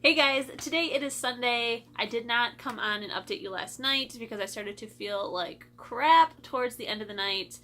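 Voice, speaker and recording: A woman's voice: on the high side, moderate pace, hardly any pauses, fairly bright tone, faint music behind. A young woman from Michigan chatting casually in a book vlog, a little stuffy from her sinuses, with lots of "like" and "I feel like". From Michigan, USA. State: stuffy, congested. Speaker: book vlogger.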